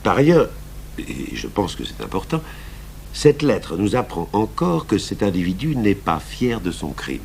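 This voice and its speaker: Low-voiced police commissioner. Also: male voice, wildly swooping pitch, gravelly, full character voice. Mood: calm, firm. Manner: measured, deliberate, deferential but firm. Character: seasoned male police commissioner, calm, patient, wryly stubborn. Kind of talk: French radio drama acting. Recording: hummy, noisy old radio broadcast.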